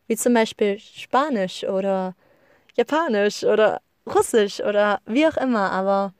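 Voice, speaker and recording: A young woman hosting a personal podcast, talking freely and warmly rambling without notes, often correcting herself mid-sentence. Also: medium pitch, lively intonation, a little slow, smooth, no room sound, clean studio sound. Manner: chatty, rambling, self-correcting, encouraging. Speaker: podcast host. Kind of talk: unscripted personal podcast monologue. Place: living in Iceland.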